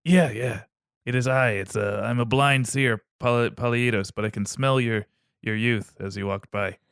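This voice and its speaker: Guy doing a sleazy blind old seer, pushy and rambly, smooth low voice, dry sound. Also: fairly even intonation, moderate pace, a bit bright, no room sound, lightly acted.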